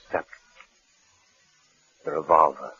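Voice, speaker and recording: An American man, an actor playing a paranoid husband in hiding, in halting, haunted 1950s radio suspense style. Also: very deep voice, steady tone, bright, forceful tone, breathy edge, heavily acted. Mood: anxious, bewildered. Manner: haunted, halting, repeating phrases. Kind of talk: 1950s radio suspense acting, first-person narration and dialogue. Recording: muffled old radio broadcast with music.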